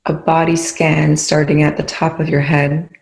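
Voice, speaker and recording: A meditation guide with a noticeably creaky voice and a fairly even, low-key pitch. The delivery is soothing and unhurried, with long pauses between short instructions. The room adds a clear echo around the words. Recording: echoey room.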